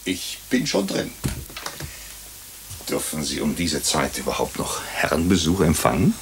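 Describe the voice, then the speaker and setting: Radio play doctor sleuth, really deep husky voice, dry humor, moves around a lot in pitch. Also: male voice, big pitch swings, a little slow, background noise, full character voice. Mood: wry, jovial. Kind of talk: German radio drama acting with first-person narration.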